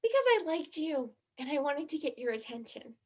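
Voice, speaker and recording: A woman's voice: high voice, very animated, moderate pace, darkish tone. An actress in a stage play as a blunt, skeptical, dramatic teenage girl, in a slightly muffled recording. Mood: contrite.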